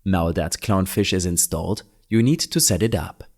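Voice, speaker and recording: A man narrating a scripted step-by-step software tutorial in an orderly, plainly worded, instructional manner. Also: low-pitched, moderate pace. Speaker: tutorial host.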